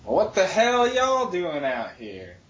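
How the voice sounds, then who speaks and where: A man's voice: high-pitched, huge pitch swings, slow, fairly bright tone, lo-fi sound, voice pushed up, heavily acted. Man putting on a drawling accusing lawman. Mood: gruff, accusing.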